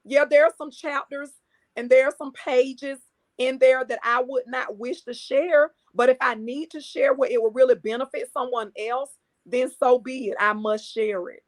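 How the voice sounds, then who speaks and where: A woman's voice: high-pitched, fairly even intonation, moderate pace. Earnest woman speaking like a preacher. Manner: earnest, preacher-like emphasis, repeats phrases for effect. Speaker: guest speaker and coach. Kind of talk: conversational interview.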